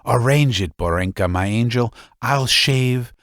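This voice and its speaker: A man's voice: medium pitch, unhurried, fairly bright tone, dry recording, clean studio sound, natural voice. A man voicing a drunken, proud, self-pitying old father who mutters and stammers, in an audiobook reading. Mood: pleading.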